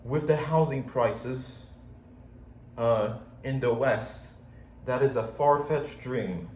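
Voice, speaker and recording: A man's voice: medium pitch, slow pace, a little mellow, slight background noise. A young man with a slight Polish accent, rambling frankly and swearing casually in a video journal. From Poland. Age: young adult. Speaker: vlogger. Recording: echoey room, faint ambience.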